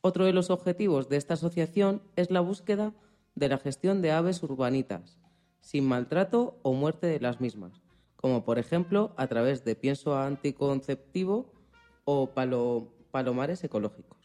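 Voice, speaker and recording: A man's voice: mid-range voice, expressive, moderate pace, dark tone, faint music behind. A man co-hosting a radio show, reading from a script and occasionally stumbling over a word.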